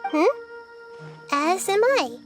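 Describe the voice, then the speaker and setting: A woman voicing a small, childlike goddess who talks in a soft, calm and earnest way. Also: extremely high pitch, takes it slowly, heavily acted. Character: small childlike girl goddess, gentle and curious. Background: game music.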